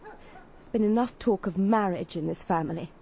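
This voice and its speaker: A woman's voice: mid-range voice, moderate pace, gravelly, warm tone, close to an everyday voice. An actress playing a tense, reluctant, bitter young woman in a radio drama, with an intense manner. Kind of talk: BBC radio drama acting. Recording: faint ambient background noise.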